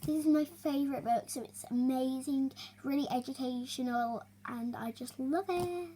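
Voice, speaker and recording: A young girl reading a children's storybook aloud, expressive but halting, with small stumbles and chatty asides. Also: high voice, slight background noise. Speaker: young reader hosting a story video.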